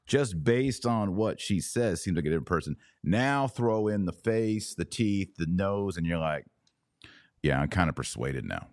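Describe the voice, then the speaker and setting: Deep-voiced man in casual podcast chat. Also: very animated, measured pace, darkish tone, no echo, clean studio sound. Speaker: podcast host. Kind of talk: casual conversational discussion.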